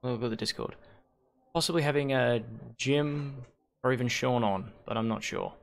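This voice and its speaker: A hoarse man hosting a league stream on a headset mic, rambling and correcting himself as he thinks aloud. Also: mid-range voice, normal speed. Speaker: league host and shoutcaster. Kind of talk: casual livestream commentary.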